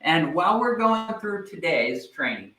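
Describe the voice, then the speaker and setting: A man giving a live online sales training, energetic and speaking directly to viewers, often checking in with "right?". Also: a little high, measured pace, reverberant, low-quality recording.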